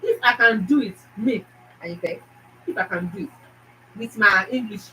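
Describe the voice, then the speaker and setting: A woman's voice: mid-range voice, moderate pace, sharp tone, distant mic, a little hiss or hum, rough recording. A woman with a Nigerian accent hosting her own channel, talking emphatically to viewers and repeating her phrases. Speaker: YouTube channel host. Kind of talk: casual motivational talk to viewers.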